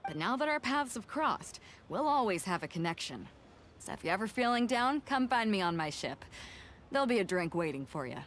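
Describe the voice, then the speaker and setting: An actress playing a confident, warm-hearted ship captain in a video game, casual and friendly. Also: female voice, mid-range voice, very animated, brisk, a little hiss or hum.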